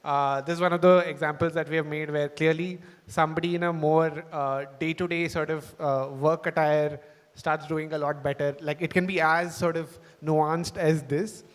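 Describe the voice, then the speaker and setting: A man's voice: on the high side, fairly even intonation, moderate pace, clear, resonant tone. A man presenting for a startup on stage, asking an interview guest questions in a casual way with many fillers. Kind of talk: onstage interview. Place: large event hall. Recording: reverberant hall PA sound.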